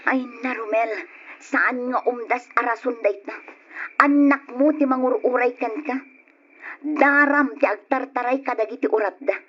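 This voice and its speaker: A woman's voice: on the high side, normal speed, lightly acted. A woman acting a reproachful long-suffering wife, muffled. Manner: reproachful, nagging. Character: long-suffering working wife and mother, scolding, exasperated. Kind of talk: Ilocano radio drama acting. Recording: muffled lo-fi old radio recording.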